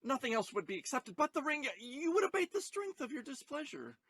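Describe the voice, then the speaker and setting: A man's voice: very high voice, big pitch swings, fairly quick, bright, forceful tone, no room sound, full character voice. A man playing an ardent young husband in a Shakespearean audio play, pleading earnestly and emphatically in verse. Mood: pleading, defensive.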